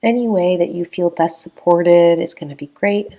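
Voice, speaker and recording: A woman leading a guided meditation in a calm, gentle, unhurried manner with long pauses between phrases. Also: lowish voice.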